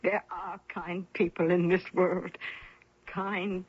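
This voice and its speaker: An actress playing a lonely, doting older mother in a radio drama, emotional and repeating herself in a husky, breathy voice. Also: fairly low pitch, little pitch movement, takes it slowly. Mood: tender, emotional. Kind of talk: 1970s-80s radio mystery drama acting. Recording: dull lo-fi old radio recording.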